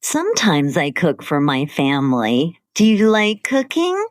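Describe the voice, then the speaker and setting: A woman co-hosting an English-learning podcast, speaking slowly and carefully in simple scripted sentences. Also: low-pitched, huge pitch swings, dry recording, studio quality. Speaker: podcast co-host.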